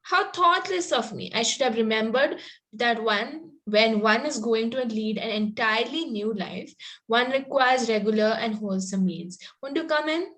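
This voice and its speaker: A woman's voice: fairly high pitch, lively intonation, moderate pace, a bit bright. A woman reading the part of a prim, coy young Victorian girl in an amateur table read, a little hesitantly.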